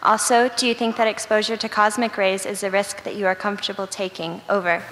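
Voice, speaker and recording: A woman's voice: medium pitch, level tone, fairly quick, fairly bright tone. A female student reading a prepared question aloud. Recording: echoing hall, faint background noise.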